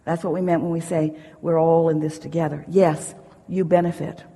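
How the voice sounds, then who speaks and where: Husky-voiced woman teaching in an echoey hall. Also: low-pitched, little pitch movement, mellow. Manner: emphatic, deliberate, with repeated phrases for emphasis. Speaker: spiritual teacher answering audience questions. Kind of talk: motivational spiritual talk, speaking as "we". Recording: echoey hall with long reverb.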